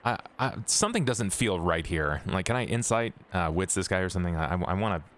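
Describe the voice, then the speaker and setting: A man playing a tabletop game, chatting casually and in character, halting and repeating words as he goes. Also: low voice, sing-song, a little hiss or hum.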